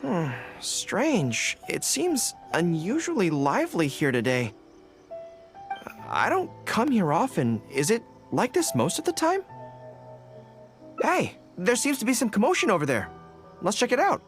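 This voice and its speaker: A man's voice: sits high, huge pitch swings, unhurried, exaggerated voice. A man playing a shy, dutiful young army general in a video game, speaking in an earnest, boyish, sometimes hesitant way. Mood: curious. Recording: game music behind the voice.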